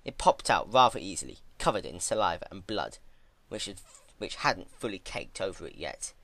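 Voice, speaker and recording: A man giving a dramatic narrated reading of a dark fan fiction story. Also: deep voice, sing-song, moderate pace, gravelly.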